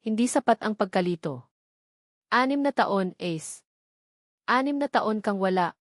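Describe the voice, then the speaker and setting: A woman's voice: medium pitch, animated, unhurried, no echo, clean studio sound. A woman with a Filipino accent reads a scripted first-person dramatic story in short, earnest, sentimental phrases. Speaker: story narrator.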